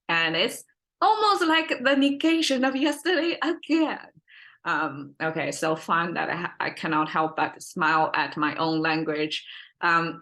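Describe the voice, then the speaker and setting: Bright-voiced woman teacher, Chinese accent. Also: deep voice. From China. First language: Mandarin Chinese. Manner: rambling, self-correcting, checks in with listeners. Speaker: language teacher, podcast host. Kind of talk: informal spoken lesson.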